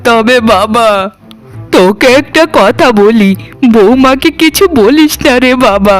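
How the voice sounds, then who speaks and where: A woman's voice: on the high side, slow pace, soft background music. A woman voicing a bossy, scheming elderly mother-in-law in a melodramatic cartoon. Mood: plaintive. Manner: scolding, theatrical.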